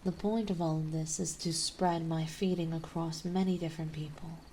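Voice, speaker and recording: A woman playing a gentle yet possessive, dominant vampire mistress in an ASMR roleplay, speaking softly and soothingly, often in a breathy whisper. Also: low voice, fairly even intonation, a little hiss or hum, natural voice. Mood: calm, reassuring. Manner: soothing, coaxing, slow and deliberate.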